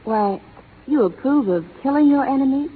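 A woman's voice: high-pitched, lively intonation, moderate pace, slight background noise. A woman acting a proud, independent young frontier schoolteacher in a 1930s radio drama, speaking in a proper, theatrical manner. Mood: teasing, challenging. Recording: narrow, fuzzy 1930s radio broadcast.

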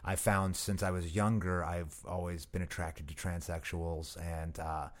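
A man in his thirties, a guest comedian in a casual interview, speaking haltingly with many restarts and fillers. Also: sits low, level tone. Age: 30s.

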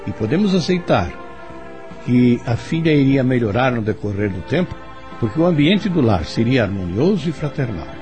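A man with a Brazilian accent giving scripted moral commentary in a formal, measured, didactic way. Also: medium pitch, sing-song, over music.

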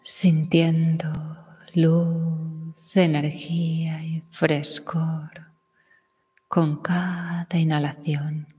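Slow whispering woman meditation guide. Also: low-pitched, flat delivery. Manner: soft breathy whisper, slow pauses. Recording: long reverb.

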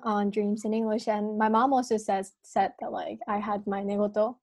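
Young woman, native japanese speaker, chatting on a podcast, informal, says like a lot, middle pitch. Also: little pitch movement, moderate pace, a little mellow. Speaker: podcast co-host. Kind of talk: casual chat.

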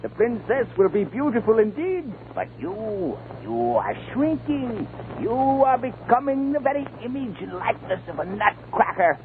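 Gloating old enchanter, really high swoopy voice, theatrical old radio play, noisy tinny sound. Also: male voice, very animated. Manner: theatrical, gloating, drawn-out delivery. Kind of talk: 1950 radio drama acting.

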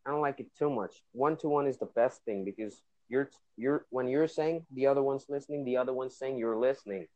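A man from India, speaking with an Indian accent while working on an American one, in measured, agreeable casual chat. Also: mid-range voice, darkish tone, dry recording, highs cut off. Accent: Indian, practicing American.

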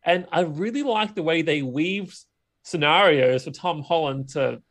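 Higher-pitched man chatting casually about film. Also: a little slow, fairly bright tone. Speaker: podcast co-host.

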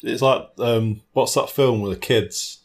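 A man's voice: mid-range voice, measured pace. A man chatting casually about films and games, rambling a little as he searches for his words. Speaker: film and game reviewer in a two-person discussion. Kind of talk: casual chat.

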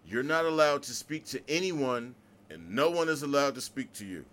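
A young man co-hosting a casual podcast chat, low and monotone in his everyday talk, turning loud and laughing when riled up. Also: normal speed. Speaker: podcast co-host.

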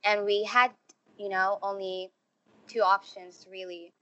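A young woman from Somalia, a refugee youth program organizer, answering interview questions slowly with many fillers. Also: mid-range voice, fairly even intonation, a bit bright. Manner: slow, halting, many fillers. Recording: muffled lo-fi audio.

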